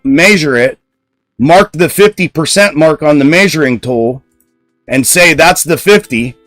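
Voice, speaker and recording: A man with a fairly high voice hosting a live trading stream. He talks loudly and bluntly and answers his viewers' comments straight back. The sound is dry and close, with faint music behind him. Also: no echo. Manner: loud speaking voice. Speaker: stock trading livestream host. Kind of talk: casual livestream commentary.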